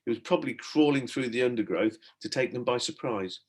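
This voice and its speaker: Expressive man reading a children's story. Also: mid-range voice, fairly quick. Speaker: primary school teacher reading aloud.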